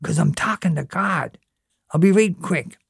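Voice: expressive, a little slow, vocal fry, slightly rough, dry recording, clean studio sound, full character voice. A reader voicing an earnest young boy, pleading and questioning as he prays and bosses his little brother around. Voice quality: high, childlike, pleading. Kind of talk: reading fiction aloud.

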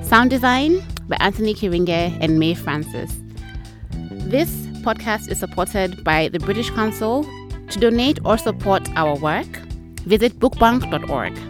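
A woman's voice: medium pitch, animated, measured pace, music in the background. A woman hosting a podcast, reading scripted credits. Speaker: podcast host and producer.

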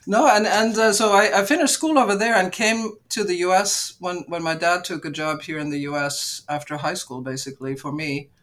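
A woman's voice: fairly low pitch, moderate pace, hardly any pauses, a bit bright. Woman in her fifties from Luxembourg; upbeat, chatty guest telling her story. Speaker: podcast guest, aviation executive. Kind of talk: casual interview conversation.

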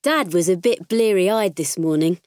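A woman playing a spirited, candid farm girl, narrating her diary in an expressive, conversational audiobook style. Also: fairly low pitch, measured pace, no echo, clean studio sound, lightly acted. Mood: fond, cheerful.

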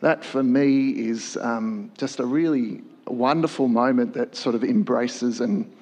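A man, a church worship leader, giving a measured, thoughtful answer on a panel. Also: medium pitch, darkish tone. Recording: long hall reverb.

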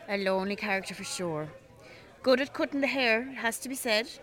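A woman's voice: fairly high pitch, brisk, a bit bright, lightly acted. A woman with an Irish accent playing a sociable pub regular in a naturalistic radio drama. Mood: wistful.

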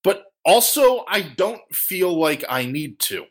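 A man's voice: sits high, sing-song, slow pace. A man hosting a casual panel discussion, talking in an informal, earnest and joking way. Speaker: podcast host.

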